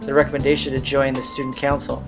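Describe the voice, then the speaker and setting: A man's voice: medium pitch, completely flat delivery, fast talker, over music. A man reading a visual novel's narration aloud as a let's player, with occasional casual asides. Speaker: video game let's player.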